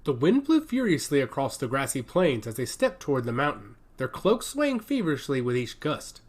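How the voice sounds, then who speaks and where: Very animated male story narrator. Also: mid-range voice, moderate pace, soft background music. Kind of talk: dramatic scripted story narration.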